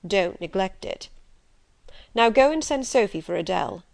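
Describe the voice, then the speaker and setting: A woman voicing a brusque, probing gentleman who is master of the house, speaking insistently. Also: medium pitch, expressive, moderate pace, vocal fry, no room sound. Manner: insistent, domineering.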